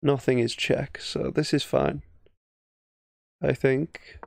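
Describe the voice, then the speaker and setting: A man's voice: medium pitch, steady tone, slow pace, creaky, no room sound, clean studio sound. A man with an English accent giving casual, thinking-aloud game commentary as a chess streamer, often muttering half-finished thoughts. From England.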